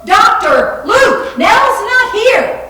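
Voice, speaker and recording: Woman acting alarmed young woman, wild high shouts, sharp tone, heavy echo, distant mic. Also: very animated, drawn-out words, heavily acted. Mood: frantic. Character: frantic young woman calling wildly for help. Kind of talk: readers theater dramatic reading. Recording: very echoey, distant, faint noise.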